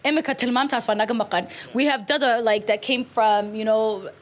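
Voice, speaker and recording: A woman's voice: a little high, steady tone, fairly quick. A woman writer on a casual panel, chatty, slipping Somali phrases into her English.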